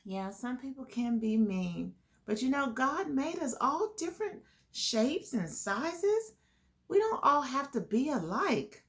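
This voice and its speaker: Female storytime host: bright, high voice, animated and expressive, addressing young children. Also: slow pace.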